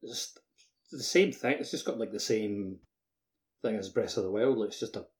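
A man's voice: fairly low pitch, normal speed, darkish tone. A man co-hosting a podcast, chatting about a video game in loose, filler-heavy, very informal speech. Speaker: podcast co-host.

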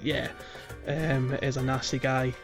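A man's voice: mid-range voice, level tone, measured pace, gravelly, faint music behind. A man giving casual, chatty gaming commentary as a podcast host, telling things informally.

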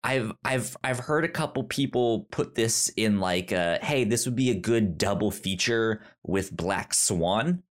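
A man's voice: on the low side, unhurried, few pauses. A man co-hosting a movie podcast, chatting casually with lots of restarts and laughs. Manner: relaxed, rambling, frequent restarts and laughs. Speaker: podcast co-host. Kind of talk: casual chat.

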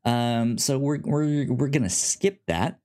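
A man's voice: medium pitch, takes it slowly, a little mellow. A man co-hosting a podcast in casual chat, rambling with frequent restarts and stammers. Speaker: podcast co-host.

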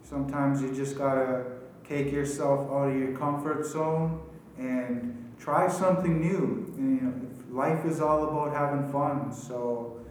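A man's voice: medium pitch, fairly even intonation, measured pace, a little mellow. A man from an amateur radio play cast giving informal closing thoughts in a plain, colloquial way. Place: inside a prison. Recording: very echoey, distant, faint noise and ambience.

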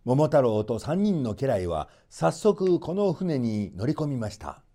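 A man's voice: medium pitch, big pitch swings, a little slow, warm tone. A man narrating a folk tale as a storyteller, in a theatrical scripted reading.

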